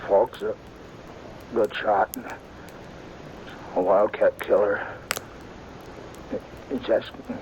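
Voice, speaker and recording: An actor playing a wounded, remorseful old sheriff who speaks weakly and haltingly in a 1950s radio drama. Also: male voice, lowish voice, level tone, dull audio, a little hiss or hum. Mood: pleading. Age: older. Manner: weak, halting, pleading.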